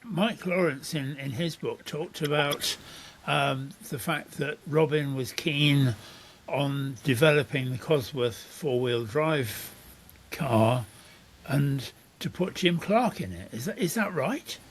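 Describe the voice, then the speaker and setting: A male host with a somewhat husky, slightly raised voice asks a guest curious follow-up questions. He mostly answers in short affirmations. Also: a little high, slow pace. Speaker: interviewer host. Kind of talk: interview chat.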